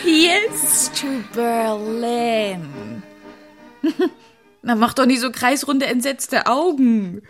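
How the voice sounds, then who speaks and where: An actress in a German radio play as a breezy, worldly friend, teasing and scolding with affection. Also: female voice, fairly high pitch, drawn-out words, faint music behind, heavily acted. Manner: lively, teasing, frank.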